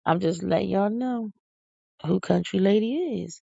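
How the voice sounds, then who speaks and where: A woman's voice: mid-range voice, a little slow, vocal fry, dark tone, slightly rough, no room sound. A woman, hosting a podcast in a casual rambling monologue, tired, silly and folksy with exclamations. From Philadelphia. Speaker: podcast host. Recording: dull lo-fi dry recording.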